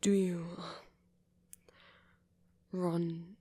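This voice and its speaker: A tender male vampire played by a voice performer speaks very softly and breathily. He takes his time, tentative and wounded, letting phrases trail off with little rise or fall, in an intimate ASMR roleplay. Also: medium pitch, level tone, extremely slow, no room sound. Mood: shy, reassuring. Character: soft-spoken wounded young male vampire, tender and unsure.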